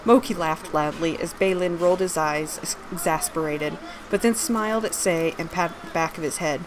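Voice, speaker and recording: A woman's voice: fairly low pitch, moderate pace, background noise. A woman reading her own fantasy story aloud, narrating scripted prose and its dialogue.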